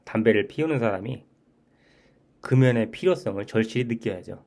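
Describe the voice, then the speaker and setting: Vlogger, male, 40s, lowish voice; sincere, repetitive, self-correcting delivery. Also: animated, fairly quick, vocal fry, a little mellow. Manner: earnest, repeats key points, frequent restarts. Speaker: channel host. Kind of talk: reflective personal monologue.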